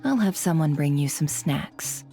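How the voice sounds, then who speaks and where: A woman's voice: low-pitched, expressive, measured pace, dark tone, soft background music. A woman playing a shrewd, coolly polite intelligence broker in a video game, composed and coy. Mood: smooth, calculating. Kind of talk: video game character dubbing.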